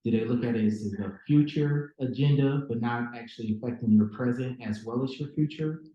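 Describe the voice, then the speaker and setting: A young man from Minnesota moderating a youth panel in a relaxed, halting way with many fillers. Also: mid-range voice. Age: young adult. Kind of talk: hosting a panel discussion. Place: large echoey conference room. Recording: echoey room, dull sound.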